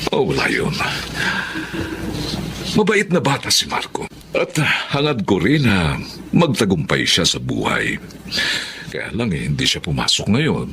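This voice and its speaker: An actor with a Filipino accent playing an easygoing, kindly boss in a radio drama, speaking in a reassuring way. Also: male voice, deep voice, big pitch swings, measured pace, long pauses, background noise, exaggerated voice. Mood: mildly concerned. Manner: genial, reassuring. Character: easygoing, kindly male boss of a young worker.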